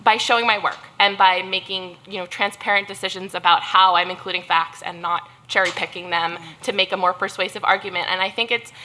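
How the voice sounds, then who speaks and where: Bright-voiced young woman journalist, earnest. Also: medium pitch, rough recording. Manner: earnest, fast, many restarts. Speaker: journalist panelist. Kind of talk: panel discussion.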